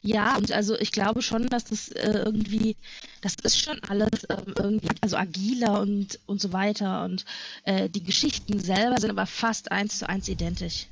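Middle-aged woman, medium pitch, chatty and rambling, casual podcast reminiscing. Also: fairly even intonation, normal speed, slight background noise, rough recording. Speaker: podcast panelist.

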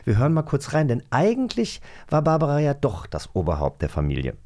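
A man with a mid-pitched voice co-hosts a podcast. He talks in a casual, conversational way, like someone chatting with a colleague. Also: huge pitch swings, brisk, a little mellow. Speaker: podcast co-host.

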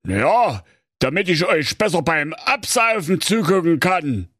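A man voicing a bossy, menacing big bad wolf disguised as a lifeguard, in a drawn-out, mock-stern storybook delivery. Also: a little high, very animated, a bit bright, no room sound, clean studio sound, pitched above the performer's own voice, heavily acted. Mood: sly.

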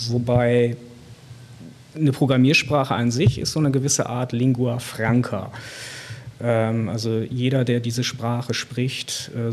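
A man on a discussion panel, explaining programming in plain terms. He hesitates often, with fillers and restarts, and reaches for everyday examples. His voice sits at a medium pitch. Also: darkish tone, husky. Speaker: computer science guest.

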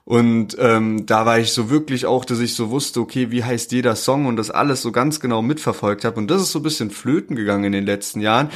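Rambling young man chatting casually. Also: medium pitch. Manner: relaxed, rambling, many fillers. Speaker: podcast co-host. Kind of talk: casual chat about rap releases.

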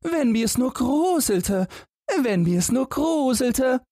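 A man's voice: extremely high pitch, lively intonation, slow, mellow, no room sound, clean studio sound, pitched above the performer's own voice, heavily acted. A man voicing a naive, simple young lad in a fairy tale, with a guileless, wistful way of talking.

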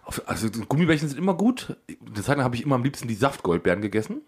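A man chats casually as a podcast co-host in a husky voice of medium pitch. His intonation is very lively, and he speaks haltingly, often tripping over his words. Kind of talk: casual chat.

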